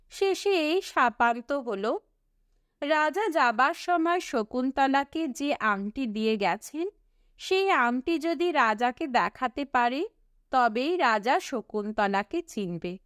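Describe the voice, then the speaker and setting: Slow, clear-voiced woman reading a story. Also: high-pitched, a bit bright, no room sound, studio quality. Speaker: audiobook reader. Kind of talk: expressive scripted storybook narration.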